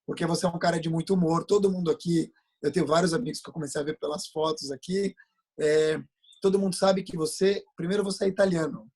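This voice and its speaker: A man with a husky voice, hosting an informal comic interview on a video call in a teasing, interrupting manner. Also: a little high, steady tone, fast, darkish tone.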